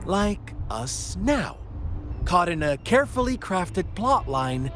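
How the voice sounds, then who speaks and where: A sly, menacing game villain who talks like a storyteller savoring every word. His voice is fairly high for a man and swings dramatically up and down. He draws phrases out slowly and teasingly, over prominent music. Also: sing-song, a bit bright, heavily acted. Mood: musing, sinister. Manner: theatrical, drawn-out, teasing storyteller delivery. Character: theatrical, sly, menacing male villain who spins fables. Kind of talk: dramatic video game voice acting. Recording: music behind the voice.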